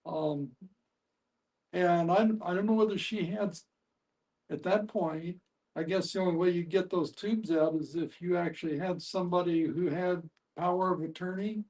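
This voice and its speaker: A man talking casually in a group, halting as he searches for words. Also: sits high, steady tone, a little mellow, low-quality recording. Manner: halting, pausing to find words. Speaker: discussion participant. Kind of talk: casual anecdotal talk.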